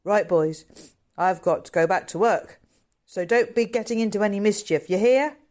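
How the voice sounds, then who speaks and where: Storybook zookeeper, played by a woman: gruff young man, blunt, scolding but good-hearted. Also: mid-range voice, normal speed, darkish tone, voice pushed up, close to an everyday voice. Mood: kindly scolding. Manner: blunt, colloquial, admonishing. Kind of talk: character dialogue in a novel reading.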